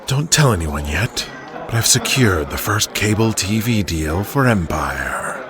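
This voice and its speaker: A man acts out a sinister, scheming wrestling promoter in an audio drama, sly and low-voiced. Music plays behind him. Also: very animated, measured pace. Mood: smug, scheming.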